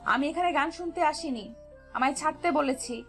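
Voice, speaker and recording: Woman acting a young sweetheart, high voice, pouty, scolding, coy, romantic audio drama, music behind. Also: moderate pace, fairly bright tone. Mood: annoyed, protesting. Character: young woman, flustered, scolding, smitten fiancée.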